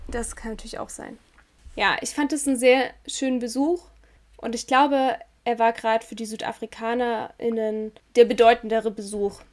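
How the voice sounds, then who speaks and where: A German woman in a reflective conversation, talking in a thoughtful, measured way and searching for words as she goes. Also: on the high side, little pitch movement.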